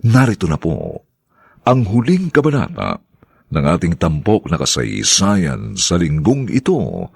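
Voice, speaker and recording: A Filipino man introducing a radio drama in a formal, solemn tone. His voice is low and husky, with pitch swooping widely. He speaks very slowly. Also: wildly swooping pitch, a little mellow, dry recording, clean studio sound. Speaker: radio drama narrator and announcer.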